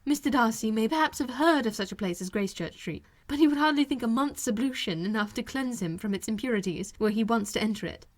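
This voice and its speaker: Woman reading as a spirited, witty young gentlewoman. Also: a little high, animated, fast, few pauses. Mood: teasing, indignant. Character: lively, witty young gentlewoman, ironic and emphatic. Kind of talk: audiobook character voice.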